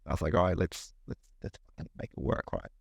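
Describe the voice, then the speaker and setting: A man's voice: low-pitched, big pitch swings, fast talker, creaky, breathy edge, dry recording, studio quality. A middle-aged man from New Zealand with a New Zealand accent, a podcast guest chatting casually, rambling, repeating words and swearing freely. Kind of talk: casual chat.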